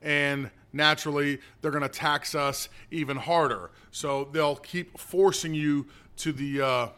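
Bright, higher-pitched man giving political commentary. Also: steady tone, unhurried, clear, resonant tone. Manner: opinionated, plain-spoken. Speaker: political talk show host. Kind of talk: conservative political commentary, conversational monologue.

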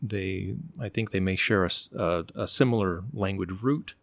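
A middle-aged man from the United States, telling stories in an unhurried, thoughtful way and pausing to find his words. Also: low-pitched, creaky, dry recording. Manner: unhurried, thoughtful, often pauses to search for words. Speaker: interview guest. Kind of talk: relaxed conversational storytelling.

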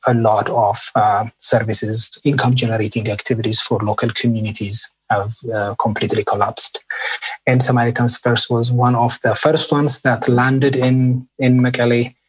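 A man working for an aid group, answering interview questions in a halting way with many fillers. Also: mid-range voice, flat delivery, breathy edge, no room sound, rough recording. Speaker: aid worker.

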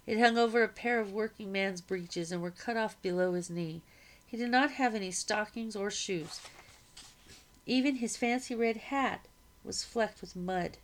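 A woman reading a novel aloud as a narrator, with a measured delivery. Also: medium pitch. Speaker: audiobook reader. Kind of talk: scripted story narration.

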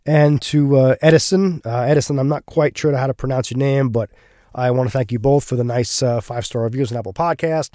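A man hosting a podcast, his voice a little gravelly from being unwell, chatting casually with many fillers. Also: mid-range voice, warm tone, no echo, studio quality. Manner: frequent fillers and restarts, friendly. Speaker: podcast host.

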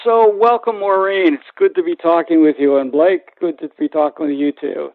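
Higher-pitched male interviewer on a phone-like line. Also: steady tone, normal speed, no room sound. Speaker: interview host. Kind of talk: conversational interview. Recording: thin, phone-like radio sound.

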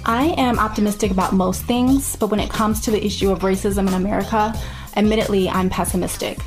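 A woman reading a personal reflection in a calm, deliberate way. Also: medium pitch, music in the background. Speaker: contributor.

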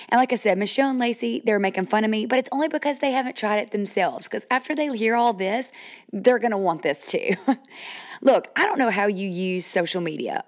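A woman from Mississippi with a Southern accent, hosting a podcast in a chatty monologue, her voice croaky from allergies. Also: medium pitch, highs cut off. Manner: chatty, says "y'all", addresses listeners directly. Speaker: podcast host.

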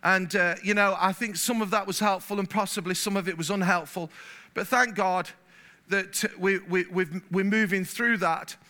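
Brief: male pastor from England, high-pitched and sharp, lively delivery that swells louder. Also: normal speed. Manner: animated, gets louder when stirred, repeats words. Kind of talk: sermon. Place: church hall.

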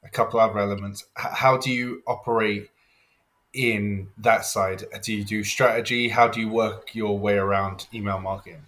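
A man hosting a podcast, asking a guest questions in a friendly, brisk way. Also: lowish voice, fairly even intonation, fairly bright tone. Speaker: podcast host. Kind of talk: podcast interview.

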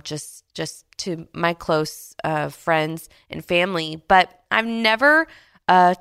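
A woman in her late twenties hosting a devotional podcast, speaking gently and earnestly with soft pauses, her voice a little stuffy from a cold. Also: on the low side, smooth, no room sound, studio quality. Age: late 20s. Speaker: podcast host. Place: Houston, Texas.

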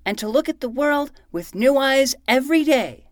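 A woman's voice: sits high, lively intonation, normal speed, no echo, clean studio sound. A woman playing an earnest, self-important twelve-year-old boy detective in a fiction podcast, speaking in a formal, theatrical way. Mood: solemn.

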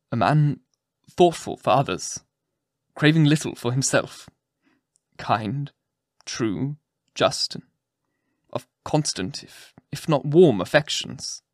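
A man's voice: medium pitch, no echo, studio quality. A man voicing a bitter, gloomy old physician with a vengeful streak, in solemn archaic theatrical storybook speech. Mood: wounded pride. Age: older.

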